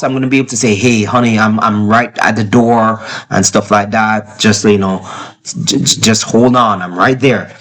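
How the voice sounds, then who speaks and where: A man talking casually to camera as he reviews gear at home, explaining haltingly and repeating phrases for emphasis. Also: fairly high pitch, a bit bright. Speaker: product reviewer. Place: child's bedroom at home.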